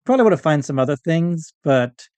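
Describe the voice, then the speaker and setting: A man's voice: fairly high pitch, expressive, a little fast, a little mellow, smooth, no echo. A man speaking as a podcast guest in casual interview chat, with a rambling, easygoing manner. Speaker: writer.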